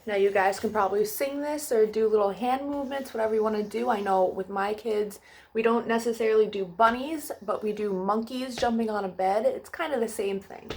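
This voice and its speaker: A woman's voice: medium pitch, brisk, a little mellow. A woman hosting a children's storytime, reading aloud and addressing young listeners in a sing-song way.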